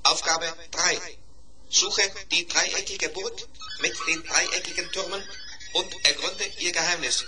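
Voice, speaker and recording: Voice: medium pitch, unhurried, close to an everyday voice. Actor doing a polite little robot schoolkid, careful earnest talk, 70s kids radio play, music under it. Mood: businesslike. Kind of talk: 1970s German children's radio play acting.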